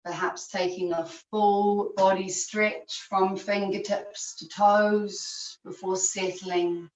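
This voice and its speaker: A woman teacher leading a guided yoga relaxation in measured short phrases with long pauses, recorded over a video call. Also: medium pitch, little pitch movement. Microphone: video call, at a distance. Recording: distant, echoey, muffled video-call recording.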